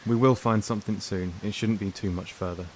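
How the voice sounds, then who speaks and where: A man's voice: on the low side, fairly even intonation, fairly quick, natural voice. A man playing a protective, cautious apocalypse survivor in an audio drama. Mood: reassuring.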